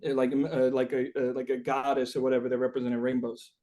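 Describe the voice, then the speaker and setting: A man being interviewed as a musician, chatting casually and feeling his way through rambling, halting explanations. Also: medium pitch, little pitch movement, warm tone.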